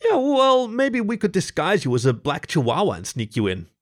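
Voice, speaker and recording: A man's voice: medium pitch, very animated, moderate pace, gravelly. A man voicing a nervous, lovestruck dog comedian from a story, with a self-deprecating, stammering, joking delivery. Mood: wry.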